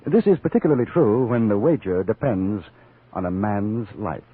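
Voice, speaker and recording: Urbane, animated English gentleman adventurer. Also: on the low side, normal speed, husky, no room sound. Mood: measured, wry. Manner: urbane, understated, wry. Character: gentlemanly English newspaper reporter and gunman, dryly unruffled. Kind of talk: 1950s radio drama narration and acting. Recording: tinny band-limited 1950s radio, music behind.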